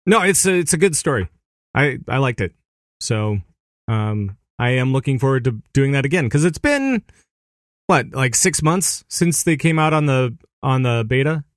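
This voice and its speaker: A man co-hosting a casual gaming podcast, animated and laughing easily, sometimes making silly sound effects. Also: medium pitch, moderate pace, no room sound, studio quality. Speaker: podcast co-host. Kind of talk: casual chat.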